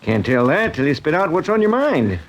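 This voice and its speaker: Small-town chief of police, folksy and canny, very animated intonation, vintage radio drama. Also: male voice, fairly high pitch, a little fast. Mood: genial. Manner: folksy, drawling country phrasing. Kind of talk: 1940s radio drama acting.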